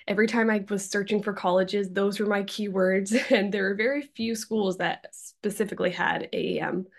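Young woman with a gravelly voice. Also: medium pitch, fairly even intonation, normal speed. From Massachusetts, Cape Cod. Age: young adult. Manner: chatty, many ums and likes, laughs easily. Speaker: guest. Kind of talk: casual interview.

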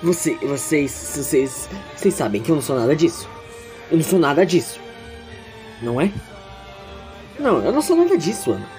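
A man's voice: a little high, very animated, brisk, pauses often, a little mellow, faint music behind. A man with a Brazilian accent playing an anxious, insecure, ambitious teenage schoolboy in a dramatic audio drama, speaking emotively and emphatically. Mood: desperate, pleading. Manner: emotive, emphatic, repeating words when agitated. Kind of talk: dramatic first-person narration and dialogue in audio drama.

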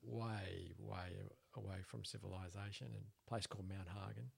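A man's voice: fairly low pitch, fairly even intonation, normal speed, vocal fry, husky. A man with an Australian accent co-hosting a podcast, earnestly asking his guest questions in casual chat. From Papua New Guinea. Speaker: podcast co-host, interviewer.

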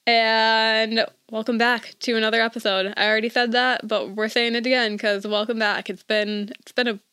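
A young woman in her twenties hosts a podcast, talking in a loose, rambling way full of fillers. Her voice sits a little high. The recording is dry and close, with no room sound. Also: flat delivery, normal speed, sharp tone, clean tone, no echo. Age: 20s. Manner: chatty, rambling with many "like"s. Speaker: podcast host. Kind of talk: casual chat.

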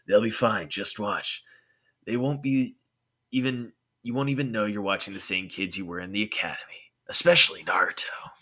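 Man as a firm ninja instructor, low voice. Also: normal speed, sharp tone. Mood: reassuring. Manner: firm, assured. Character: confident adult male ninja instructor, calmly rebutting doubts.

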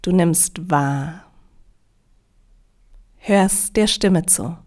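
A woman leading a guided yoga nidra relaxation in a calm, soothing, measured way with long pauses. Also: lowish voice.

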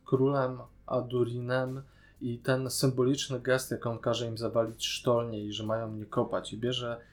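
A man's voice: mid-range voice, flat delivery, a little slow. A man, co-hosting a podcast and casually discussing a TV series. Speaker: podcast co-host.

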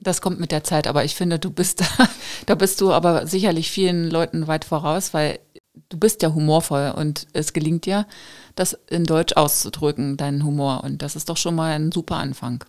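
A woman's voice: sits low, steady tone, moderate pace. A woman hosting a podcast, talking in an encouraging, reflective way like a coach. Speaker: podcast host. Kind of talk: casual podcast conversation.

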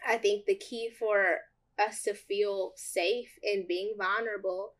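Young female co-host, loud projected voice, earnest, reflective. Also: medium pitch, fairly even intonation, slow. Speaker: podcast co-host. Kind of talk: casual chat about dating.

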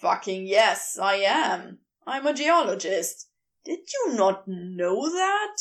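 A woman playing a blunt, sardonic male geologist with a gruff, terse, dry manner in an audio story. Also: medium pitch, sing-song, slow, voice pushed down. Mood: exasperated, emphatic.

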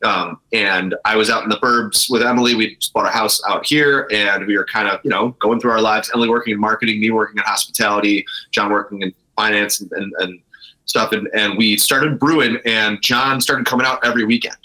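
A man's voice: lowish voice, fairly even intonation, brisk, pushed and bright, low-quality recording. A man speaking as an interview guest, telling the story of his business in a casual, conversational way. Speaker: interview guest, brewery co-founder.

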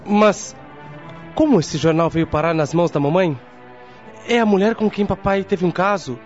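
A man's voice: high voice, normal speed, lightly acted. A man playing a troubled, protective young son in a radio soap, serious and earnest. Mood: shocked. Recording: dull lo-fi audio, music underneath.

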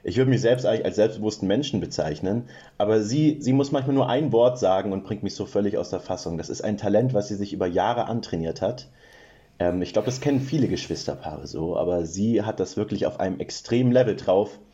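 Deep dark-voiced man, casual chat. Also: brisk, highs cut off. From Franconia, Germany. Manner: chatty, self-deprecating, with many fillers. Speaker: podcast guest, brother of the co-host.

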